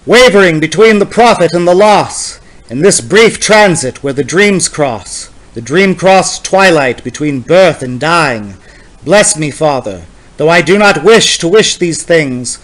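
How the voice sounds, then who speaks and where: A man reads a long poem aloud in a dramatic style, fairly high in pitch for a man. His delivery is slow, solemn and incantatory, leaning on the repeated phrases. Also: fairly bright tone, rough recording. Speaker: poetry reader. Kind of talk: dramatic poetry reading.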